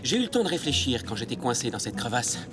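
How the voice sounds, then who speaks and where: An actor playing a dashing young masked hero in a cartoon, self-assured and teasing, with a playful theatrical manner. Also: male voice, mid-range voice, very animated, fast talker, loud music behind the voice, natural voice. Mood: relieved, suspicious. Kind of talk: animated cartoon dub.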